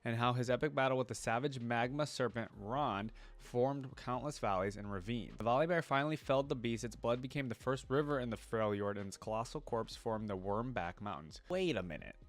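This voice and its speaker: A man hosting a reaction video in an informal way, reading aloud and thinking out loud as he comments. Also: mid-range voice, a little fast, few pauses.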